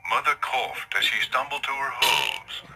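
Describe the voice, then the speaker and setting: A man's voice: medium pitch, very animated, measured pace, creaky, background noise. A man narrating a scripted horror story in a dramatic storytelling style.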